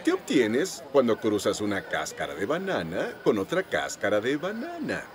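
Wildly swooping, boastful cartoon hippo. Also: male voice, mid-range voice, huge pitch swings, normal speed, a crowd in the background. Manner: boastful, fumbling. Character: cocky but bumbling male hippo, cheerful. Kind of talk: cartoon dub dialogue.

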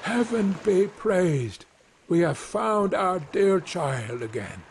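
An earnest, loving woodman father from a storybook, voiced by a man. He is protective, with a lively, fairly high voice for a man. Faint noise sits on the recording. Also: takes it slowly, full character voice. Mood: relieved. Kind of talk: storybook character voice.

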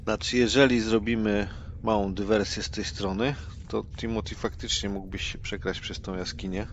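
A man with a low voice speaks casually in character during a roleplaying session, advising in a plain-spoken way. The recording is dull with faint background noise. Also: little pitch movement, normal speed. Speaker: tabletop roleplay player.

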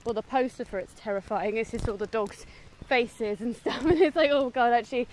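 A woman's voice: fairly high pitch, a little fast. A woman, casually chatting through a film review while walking outside. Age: 20s. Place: outdoors, walking on a woodland path. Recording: outdoor ambient noise.

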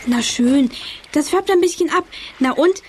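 High-voiced cocky young boy. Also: animated, measured pace, a little mellow. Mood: carefree. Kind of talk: children's radio play acting. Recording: faintly noisy old cassette.

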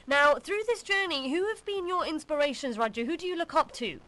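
Lady radio dj, slightly high voice, upbeat, chatty interview questions. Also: animated, a little fast, pushed and bright. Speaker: radio host, DJ. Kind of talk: casual live radio interviewing.

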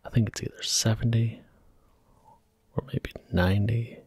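A man giving soft-spoken, gentle ASMR commentary while he plays a video game. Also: fairly low pitch, fairly even intonation, measured pace, slightly rough, dry recording. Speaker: ASMR gaming host. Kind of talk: whispered ASMR gameplay commentary.

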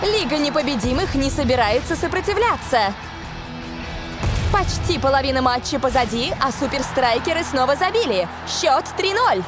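A man voicing an eager, emphatic football co-commentator in a cartoon, with loud music over his voice. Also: extremely high pitch, lively intonation, moderate pace. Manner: dramatic, emphatic.

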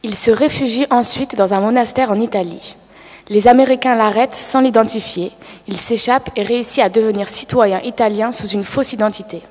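A woman's voice: a little high, normal speed, a little mellow. A middle-aged woman reading a text aloud at a panel table in a large hall. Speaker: reader at a panel. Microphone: table microphone. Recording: long hall reverb.

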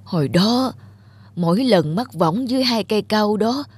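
A woman playing an elderly widowed mother in a cartoon, gentle, yielding and reflective, speaking hesitantly. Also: mid-range voice, lively intonation, moderate pace, breathy edge, dry recording. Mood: wistful, reflective. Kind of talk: cartoon voice acting. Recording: music under the voice.

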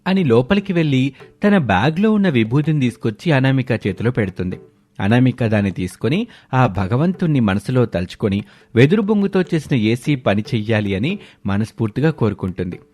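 A man's voice: medium pitch, darkish tone. A man narrating a scripted story as the storyteller.

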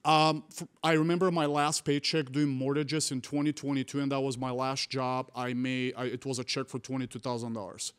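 A young man from Bulgaria with a Bulgarian accent, talking earnestly and haltingly as a podcast guest on a studio mic. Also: mid-range voice, level tone, clean tone. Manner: earnest, halting, restarts often. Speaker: guest, real estate wholesaler. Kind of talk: casual interview talk. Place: studio set with mountain mural. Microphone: studio mic on boom arm.

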